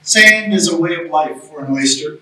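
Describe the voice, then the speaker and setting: Distant echoey room, middle-aged man, high bright voice, solemn memorial homily, measured phrasing with pauses. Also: expressive. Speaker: chaplain leading a memorial service. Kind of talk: memorial homily, reading a reflective story. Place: small chapel, indoors at a lectern.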